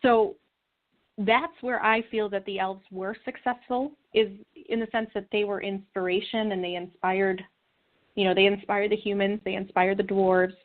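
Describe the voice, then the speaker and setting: A woman speaks as an interview guest through a thin, phone-like connection. She explains things in an earnest, conversational way, at a middling pitch for a woman. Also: steady tone, normal speed. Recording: narrow phone-like call line.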